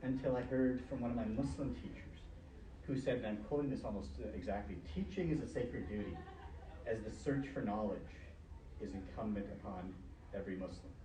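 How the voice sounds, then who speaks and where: Low male voice, middle-aged, Urdu native; relaxed lecture-style sermon through a face mask, roomy and noisy. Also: brisk. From Pakistan, grew up in Canada. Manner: conversational, many fillers and restarts. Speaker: professor giving a Friday sermon. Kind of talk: university Friday sermon. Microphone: speaking through a face mask. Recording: echoey room, mic far off, noisy background.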